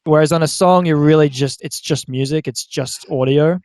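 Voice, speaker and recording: A man's voice: a little high, normal speed, darkish tone, dry recording, studio quality. A young man, thinking aloud hesitantly in a casual group podcast chat. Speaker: band member and podcast guest.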